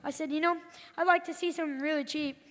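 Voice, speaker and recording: A woman's voice: high-pitched, steady tone, fast, fairly bright tone. A woman playing a jokey husband in a corny old-time radio comedy double act on stage. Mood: jokey banter. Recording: noisy, long echoing hall reverb.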